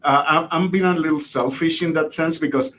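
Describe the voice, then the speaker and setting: A middle-aged man with a Puerto Rican accent talks casually as an interview guest. His voice sits slightly high, he often repeats words hesitantly, and there is a faint rumble on the recording. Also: steady tone, brisk, fairly bright tone. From Puerto Rico. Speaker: guest, biology professor. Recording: rumbly background noise.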